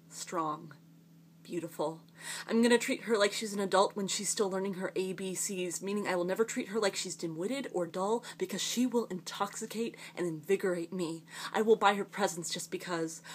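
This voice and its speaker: Woman reciting slam poetry, noisy recording. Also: lowish voice. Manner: earnest, emphatic, rhythmic delivery with deliberate pauses. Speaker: slam poet.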